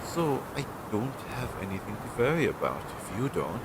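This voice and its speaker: A young man playing an anxious, earnest and loyal crow familiar in a roleplay audio drama, hesitant and chatty as he thinks aloud, on a low-quality amateur recording. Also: sing-song, moderate pace, heavy background noise, lightly acted. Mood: reassured, earnest. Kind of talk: roleplay audio drama, intimate one-sided dialogue.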